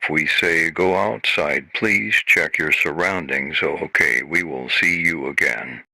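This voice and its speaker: A man playing a blunt emergency announcer and call operator in an amateur alert scenario, giving halting, clipped instructions. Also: rumbling low, gravelly, bright, forceful tone, full character voice, poor audio. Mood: official warning.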